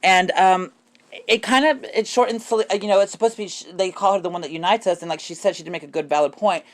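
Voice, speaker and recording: Fast-talking, higher-voiced man chatting casually. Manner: rambling, self-correcting storytelling. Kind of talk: casual opinionated podcast discussion.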